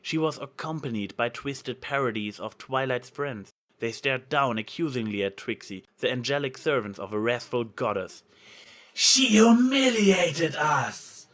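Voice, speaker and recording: A man's voice: mid-range voice, normal speed, few pauses, fairly bright tone. A man reading fan fiction aloud as a narrator, in a dramatic storytelling style.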